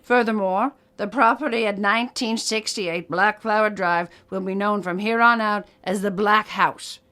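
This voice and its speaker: An actress playing a folksy, firm, no-nonsense small-town judge in an audio drama. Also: female voice, medium pitch, steady tone, moderate pace, bright, forceful tone, lightly acted. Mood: stern, formal.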